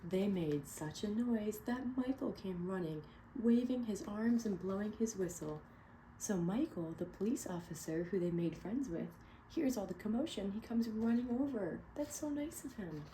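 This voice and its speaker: A woman reading a picture book aloud to children, pausing to ask them questions. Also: medium pitch, lively intonation, slight background noise, poor audio. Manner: gentle, asks children questions. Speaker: read-aloud storyteller.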